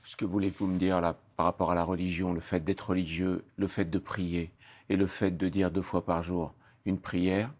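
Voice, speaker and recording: A man's voice: deep voice, level tone, normal speed, darkish tone. A man interviewing a guest, summing up ideas in long linked phrases. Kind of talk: interview conversation.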